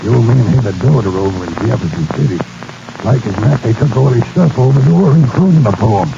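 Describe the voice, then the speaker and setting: A man's voice: mid-range voice, lively intonation, a little fast, vocal fry. An actor playing a dim, sullen henchman with slangy tough talk in a 1940s radio drama. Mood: defensive. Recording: muffled, noisy 1940s radio recording.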